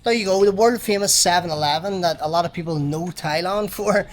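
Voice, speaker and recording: A man's voice: high voice, fairly quick. Upbeat Belfast man vlogging outdoors. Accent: Belfast Northern Irish. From Northern Ireland. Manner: enthusiastic, upbeat presenter. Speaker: travel vlogger. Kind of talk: travel vlog narration and interview. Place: outdoors in a city.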